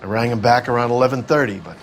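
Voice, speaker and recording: An actor playing a world-weary, gruff middle-aged 1940s club owner in a video game, blunt and wistful. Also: male voice, mid-range voice, fast talker, faint music behind, natural voice. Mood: defensive, angry.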